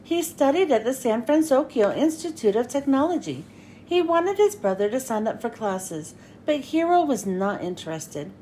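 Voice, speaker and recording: A woman reading a children's storybook aloud in an expressive story-time manner. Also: a little high, moderate pace, a little hiss or hum. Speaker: children's read-aloud host. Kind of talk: animated storybook narration.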